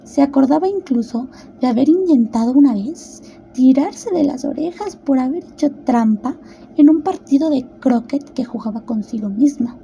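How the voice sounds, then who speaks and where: A woman narrates a storybook tale expressively in a high voice. Her tone is very soft and dark-edged, with faint noise in the recording. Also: gravelly, low-quality recording.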